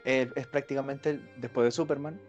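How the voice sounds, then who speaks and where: Guy breaking down superhero stuff point by point, normal voice, soft music behind. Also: mid-range voice, fairly even intonation, brisk, highs cut off. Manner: explanatory, lays out points in a lecturing way. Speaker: podcast co-host. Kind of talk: casual chat.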